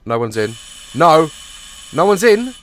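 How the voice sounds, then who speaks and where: A hoarse young man on a headset mic, nervously joking and swearing through a horror game as a gaming YouTuber. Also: fairly high pitch, very animated, unhurried, fairly bright tone. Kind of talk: horror game let's play commentary.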